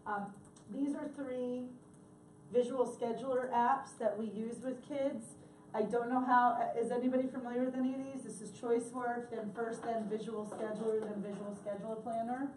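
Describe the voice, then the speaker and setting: A woman's voice: on the high side, steady tone, normal speed, darkish tone, slight background noise. A woman leading a workshop in a casual, chatty way, often correcting herself and apologizing, her voice worn and slipping into coughs. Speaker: workshop presenter. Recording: echoey room from far off.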